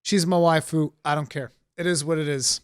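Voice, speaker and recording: A man from Portugal, co-hosting a podcast, telling stories in a casual, animated, emphatic way. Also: on the high side, normal speed, clean tone, no echo, clean studio sound. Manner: animated storytelling, emphatic asides. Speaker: podcast co-host. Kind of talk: casual chat.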